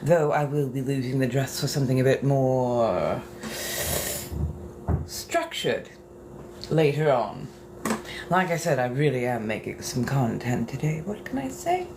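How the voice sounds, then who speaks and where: Slow, flirtatious woman streaming from a bathroom. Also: rumbling low, lively intonation. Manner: flirtatious and theatrical, calls viewers darling. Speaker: livestream host. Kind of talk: casual livestream chat while getting ready. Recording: clear background noise.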